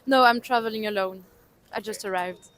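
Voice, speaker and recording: A woman in her twenties from France giving calm, matter-of-fact answers in a street interview. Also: medium pitch, moderate pace. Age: 20s. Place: outdoors on a street.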